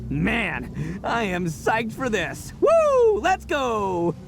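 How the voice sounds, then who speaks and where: Brief: cocky oni fighter for a game, boisterous and goofy, huge pitch swings. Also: male voice, extremely slow, full character voice. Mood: boastful. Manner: boisterous, laughing, animated. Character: brash boastful oni brawler, loud and goofy. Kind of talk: video game voice acting. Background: game music.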